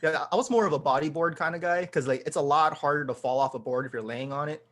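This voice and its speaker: A man from Hawaii with a somewhat high voice talks as a guest in an interview. His style is casual and anecdotal. His phrasing is loose and slangy. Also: quick pace, a bit bright. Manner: informal, slangy, peppered with "like". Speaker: band musician, interview guest.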